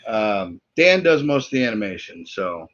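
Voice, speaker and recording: A man, a voice actor and co-creator, talking casually and haltingly on a video call. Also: fairly low pitch, sing-song, a bit bright. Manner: halting, filler-heavy. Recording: dull video-call audio.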